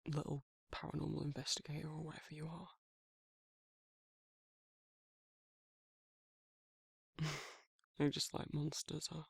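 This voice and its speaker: A man playing a shy, protective werewolf in a romantic ASMR roleplay, speaking haltingly and reassuringly in a gravelly, husky voice. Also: a little high, monotone, no echo, clean studio sound. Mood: reassuring, teasing. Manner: halting, awkward, softly reassuring. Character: shy, protective, tender young male werewolf in love. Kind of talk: romantic ASMR audio roleplay, intimate and conversational.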